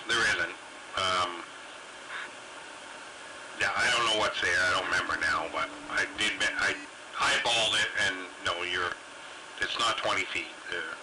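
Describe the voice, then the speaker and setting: A man's voice: low voice, measured pace, long pauses. A man talking casually over CB radio in a blunt, salty way. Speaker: CB radio operator. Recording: very noisy CB radio transmission.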